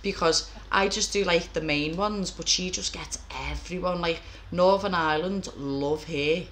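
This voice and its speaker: A woman's voice: on the low side, unhurried, a bit bright, a little hiss or hum. A woman, hosting a casual video-call chat from home on a headset, chatty and swearing freely. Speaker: podcast host. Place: small room at home, indoors. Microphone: over-ear headset on a video call.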